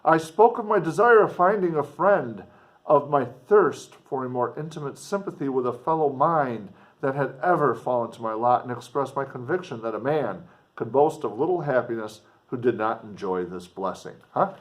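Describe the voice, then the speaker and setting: A man with a mid-range voice reads literary prose aloud in a teacherly way. He stresses key phrases and draws them out, leaving dramatic pauses. His delivery is expressive and made for explaining as much as performing. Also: medium pitch. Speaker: teacher reading a novel aloud with commentary.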